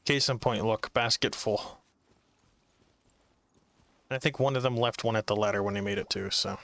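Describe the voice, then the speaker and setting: A man's voice: lowish voice, normal speed, vocal fry, a bit bright. A man who plays games, commenting casually on the game as he goes. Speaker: gamer.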